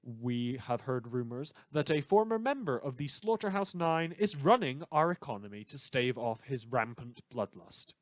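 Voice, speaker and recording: A man's voice: fairly high pitch, no room sound. A man playing a wry, shady radio host who rambles sardonically and hesitantly, with dramatic emphasis, in a scripted fictional broadcast. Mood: mock-newsreader, dry.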